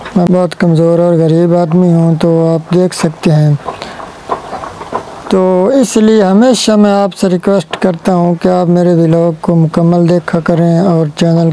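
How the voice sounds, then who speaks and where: A young Pakistani man speaks in a dark, mellow voice with a Pakistani Urdu accent, pleading slowly and earnestly. His pitch barely moves, staying flat and steady. He talks outdoors on a lapel mic, with faint ambient noise behind him. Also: rough recording. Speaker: village vlogger. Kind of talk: direct-to-camera appeal. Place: outdoors in a sunny village courtyard.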